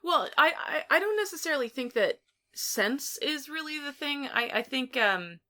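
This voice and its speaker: A woman's voice: sits high, animated, moderate pace, pushed and bright. A woman co-hosting a podcast, chatting casually as she reviews films. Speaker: podcast co-host. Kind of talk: casual film review chat.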